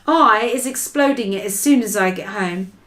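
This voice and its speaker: A woman reading a storybook aloud and voicing an excitable, friendly giant who cries out in mangled grammar. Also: mid-range voice, normal speed, lightly acted. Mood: grim. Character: big friendly giant, excitable old male.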